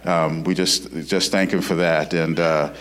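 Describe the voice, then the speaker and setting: An American man with a fairly low voice speaks as a church host. His delivery is informal and unpolished, feeling its way through an introduction. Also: level tone, measured pace. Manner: hesitant, unpolished.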